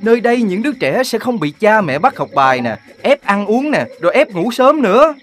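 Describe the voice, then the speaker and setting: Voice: mid-range voice, very animated, fairly quick, faint music behind, natural voice. An actor voicing a grown-up dog in a children's cartoon, emphatic and theatrical in delivery. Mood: grandly cheerful. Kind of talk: animated children's cartoon dubbing.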